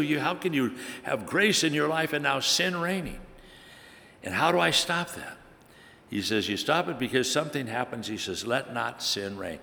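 Brief: male preacher, mid pitch, stop-start delivery, long hall reverb. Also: vocal fry, bright, forceful tone. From Hungary. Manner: halting, repetitive restarts, emphatic scripture quoting. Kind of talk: sermon.

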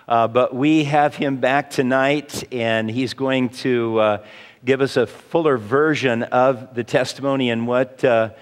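A pastor introduces a guest at an evening service, halting often on fillers and false starts. His voice has a middle pitch and rings with a long hall reverb. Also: steady tone, clean tone. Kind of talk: introducing a guest speaker in church. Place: church hall.

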